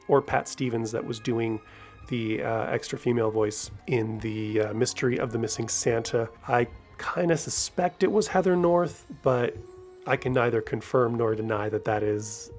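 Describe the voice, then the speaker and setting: A man's voice: mid-range voice, moderate pace, darkish tone, faint music behind. A man hosting a podcast, giving casual, rambling solo commentary in a conversational way. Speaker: podcast host.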